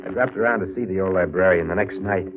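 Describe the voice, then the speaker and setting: A man's voice: deep voice, fast, lightly acted. Man playing a brash hard-boiled killer, muffled radio. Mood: tense narration. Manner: slangy, blunt, hard-boiled delivery. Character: brash, greedy, menacing male killer narrating his crime. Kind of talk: 1940s radio drama acting. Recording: muffled vintage radio recording with music.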